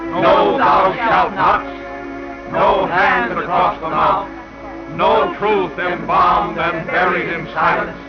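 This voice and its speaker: A man's voice: high-pitched, steady tone, takes it slowly, long pauses. A man playing a fervent patriotic voice of the American people in a 1940s radio pageant, declaiming with ringing deliberation. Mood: defiant, proud. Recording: dull old radio broadcast, loud music behind.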